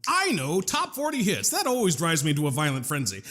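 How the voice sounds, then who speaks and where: An actor playing a flippant, verbose gentleman genius in a comedic audio drama, glib and mock-polite with stammering asides. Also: on the high side, wildly swooping pitch, a little fast, full character voice. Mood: flippant, exasperated.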